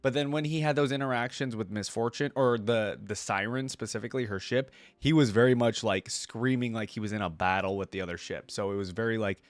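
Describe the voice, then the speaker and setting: A man's voice: lowish voice, a little fast, hardly any pauses, no room sound. A man giving casual reaction commentary as a video host, in an informal, rambling way.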